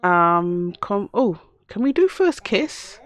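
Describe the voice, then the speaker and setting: Hoarse woman gamer, casual running commentary, chats to her game characters, dry close sound. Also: mid-range voice, big pitch swings, slow pace, a bit bright, no room sound. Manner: chatty, talks to the game characters. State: hoarse from a cough. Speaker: let's play host.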